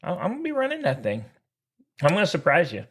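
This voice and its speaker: Animated, bantering man on a podcast. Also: on the high side, fast, breathy edge. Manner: boastful, bantering, folksy, repeats himself. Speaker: podcast co-host. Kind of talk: casual joking sports chat.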